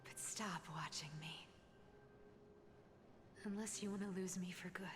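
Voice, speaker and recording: A woman voicing a sly, guarded young trickster in a dramatic game scene, often hushed and breathy. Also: medium pitch, steady tone, a little slow, noisy background, natural voice. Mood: hurt, guarded.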